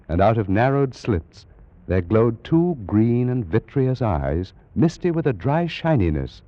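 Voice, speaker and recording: An actor playing a scholarly British Egyptologist, formally recounting an eerie adventure in a 1940s radio drama. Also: male voice, fairly low pitch, dark tone, dry recording. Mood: measured, intrigued. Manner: formal, precise, dramatic storytelling. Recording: muffled, noisy vintage radio recording.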